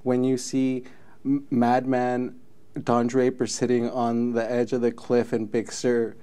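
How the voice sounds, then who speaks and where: A man's voice: medium pitch, completely flat delivery, measured pace, mellow, clean tone. A man in his thirties from Mexico, a native Spanish speaker, answering interview questions in an earnest, reflective way. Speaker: film commission president. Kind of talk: interview guest.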